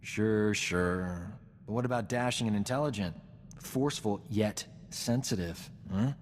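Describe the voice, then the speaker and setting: An actor playing a vain, flirtatious, smooth-talking doctor in a video game. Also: male voice, fairly low pitch, unhurried, in a large hall, a little hiss or hum, lightly acted. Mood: cocky. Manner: cocky, self-admiring, smooth-talking. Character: vain, flirtatious male doctor, boastful charming rogue. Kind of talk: video game cutscene acting.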